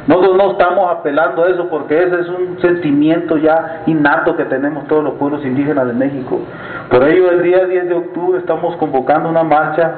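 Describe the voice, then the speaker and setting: A man giving an earnest, measured address to a meeting audience, with halting restarts. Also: mid-range voice, a little hiss or hum. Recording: echoey room over a tinny lo-fi line.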